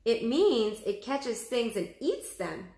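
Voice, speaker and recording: A woman's voice: fairly high pitch, very animated, slow pace, mellow, reverberant, pitched above the performer's own voice, exaggerated voice. A woman reading the part of an earnest, cautious young boy adventurer in a storybook, explaining things emphatically.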